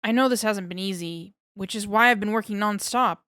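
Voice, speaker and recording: A woman's voice: mid-range voice, brisk, a bit bright, no room sound, studio quality, lightly acted. A woman voicing a nervous male lab scientist who rambles and over-explains, eager to please. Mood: anxious pleading.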